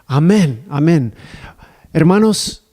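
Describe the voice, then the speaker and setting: A man preaching a sermon in Spanish, which is not his first language, speaking carefully with pauses and restarts and praying aloud. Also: lively intonation, mellow. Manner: raises pitch in a preaching tone when reading scripture.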